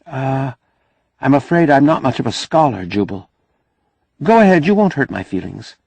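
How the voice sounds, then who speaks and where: A spirited young woman in an audiobook, played by a male narrator. The pitch is lifted well up, with some huskiness and a dry studio closeness. The delivery is earnest, outspoken and challenging. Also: lively intonation, moderate pace, gravelly, a little mellow, slightly rough, no echo. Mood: hesitant. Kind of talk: audiobook character dialogue.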